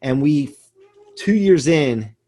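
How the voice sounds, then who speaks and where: Male, 40s, raised pitch. Motivational interviewee with an emphatic, driven delivery. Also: animated, slow, dark tone. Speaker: podcast guest, performance coach and business manager.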